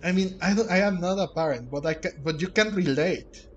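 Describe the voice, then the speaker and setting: A man giving casual let's play commentary, haltingly, repeating words as he searches for phrasing. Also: high voice, dull audio, slight background noise. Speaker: gaming streamer.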